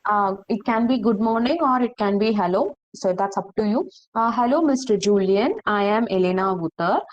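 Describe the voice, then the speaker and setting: A woman teaching a French class, slowly repeating phrases and checking that students follow. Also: medium pitch, lo-fi sound. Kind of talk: online language class.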